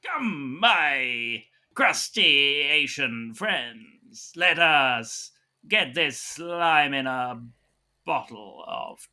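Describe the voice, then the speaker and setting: Man playing a theatrical, sharp-voiced crab commander. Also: medium pitch, animated, drawn-out words, exaggerated voice. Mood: grandly rallying. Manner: grandiose, theatrical. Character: earnest, dramatic commander of a swarm of crabs. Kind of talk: improvised audio roleplay acting.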